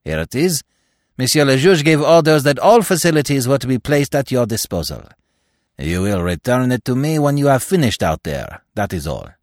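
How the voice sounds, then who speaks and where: A man's voice: medium pitch, big pitch swings, moderate pace, no echo, clean studio sound, pitched above the performer's own voice, natural voice. A man reading aloud who voices a polite, formal male police officer, speaking in a deferential manner. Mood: courteous.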